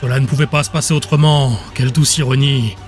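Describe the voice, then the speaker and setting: A man's voice: mid-range voice, fairly even intonation, quick pace, close to an everyday voice. A man voicing a crazed, villainous counsellor in a dramatic audiobook, theatrical and sneering, bitter and desperate. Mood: gloating menace. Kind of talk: dramatic audiobook character acting. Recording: music behind the voice.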